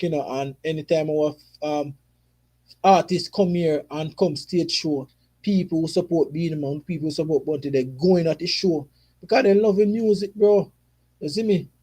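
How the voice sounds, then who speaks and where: A man's voice: on the high side, steady tone, normal speed, warm tone. A young Jamaican man with a Jamaican accent, casually chatting about football in patois-flavored talk. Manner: patois-flavored, chatty, frequent "you see me". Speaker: football channel host.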